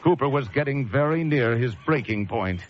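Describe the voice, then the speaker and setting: Man acting a haunted lighthouse keeper, animated, muffled radio. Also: medium pitch, normal speed, lightly acted. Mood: grim, weary. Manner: intense, theatrical storytelling. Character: haunted male lighthouse keeper recounting a night of horror. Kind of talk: old-time suspense radio drama narration.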